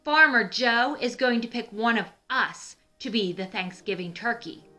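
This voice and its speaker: A woman voicing an eager male turkey in a children's storybook, speaking with excited emphasis. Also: fairly high pitch, a little slow, faint music behind. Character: eager male turkey, excitable schemer among farm friends.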